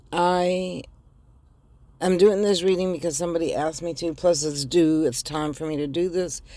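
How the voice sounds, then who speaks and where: A middle-aged woman with a raspy voice after the flu, giving a casual tarot reading to camera and talking directly to viewers, with hesitant ums, recorded inside a car on a phone held in one hand. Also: low voice, mellow. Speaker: tarot reader.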